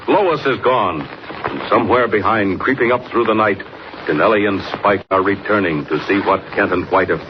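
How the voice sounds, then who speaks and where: A man narrating a radio serial in a theatrical, suspenseful 1940s announcer style. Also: lowish voice. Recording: muffled noisy 1940s radio recording.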